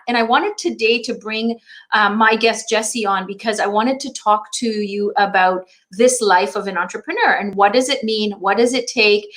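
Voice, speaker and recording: A woman's voice: a little high, bright, forceful tone. A woman hosting an online interview, eager and encouraging toward her guest. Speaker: host.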